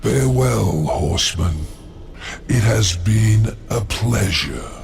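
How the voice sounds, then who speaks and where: A sly demon lord from a game, gravelly, theatrical, really swoopy scheming delivery. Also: male voice, lowish voice, lively intonation, heavy background noise, heavily acted. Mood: solemn, knowing. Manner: smug, deliberate, theatrical. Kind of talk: theatrical video game cutscene acting.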